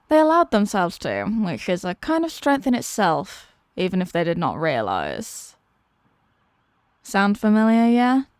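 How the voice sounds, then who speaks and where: A woman playing a menacing, mocking demon villainess in a fantasy audio roleplay, theatrical and taunting, with deliberate dramatic pauses. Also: medium pitch, a little mellow, smooth, no echo, clean studio sound. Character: possessing demon villainess, menacing, mocking, tormented by borrowed love.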